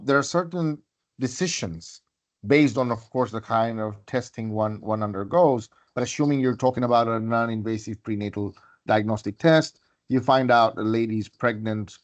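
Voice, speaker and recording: A man's voice: mid-range voice, lo-fi sound. A man moderating an unscripted webinar panel, talking discursively as he builds up long questions. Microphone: video call.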